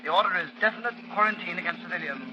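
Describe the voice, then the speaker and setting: Sharp, high-voiced officious doctor. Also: male voice, rapid-fire. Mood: firm, polite. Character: brisk, officious army doctor, courteous but firm. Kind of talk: 1930s radio acting. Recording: muffled, faintly noisy 1930s radio recording.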